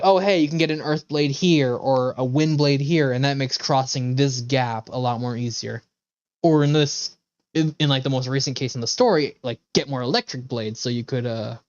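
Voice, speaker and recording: A man's voice: medium pitch, dry recording. A male game streamer with a mid-range voice talks casually to his viewers. He rambles, opinionated and sweary. The sound is dry and a little dull.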